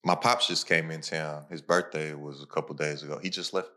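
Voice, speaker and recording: A young man hosting a podcast, talking casually with slang and animated, quick bursts of storytelling. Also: a bass voice. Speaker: podcast host.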